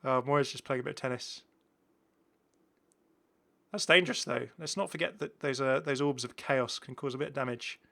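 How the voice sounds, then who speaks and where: Bright-voiced man hosting a gaming video. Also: medium pitch, steady tone, moderate pace, vocal fry, long pauses. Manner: chatty, enthusiastic, exclaiming. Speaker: host of a gaming channel. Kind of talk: casual gameplay showcase commentary.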